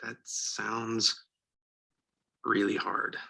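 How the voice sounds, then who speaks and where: An actor playing a boyfriend who calmly and matter-of-factly keeps trying to fix things. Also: male voice, lowish voice, drawn-out words, gravelly, full character voice. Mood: insistent. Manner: calm, matter-of-fact, mildly insistent.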